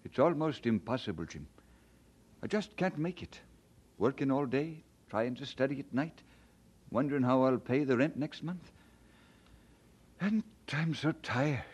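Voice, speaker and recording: A man's voice: mid-range voice, expressive, measured pace, long pauses, darkish tone, husky. An actor playing an earnest, hesitant young man who longs to preach, in old-time radio drama style. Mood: weary, yearning. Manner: earnest, hesitant, old-fashioned Scots turns of phrase. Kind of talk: mid-century radio drama acting.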